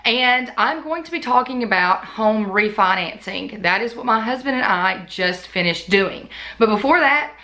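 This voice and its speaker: A woman with a bright, clear-toned voice that is still a little worn as it recovers. She chats casually and unscripted to the camera, telling a long, rambling story as a budgeting vlogger. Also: a little high, expressive, moderate pace, few pauses. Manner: chatty, talks in long run-on stories. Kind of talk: casual off-the-cuff chat to camera.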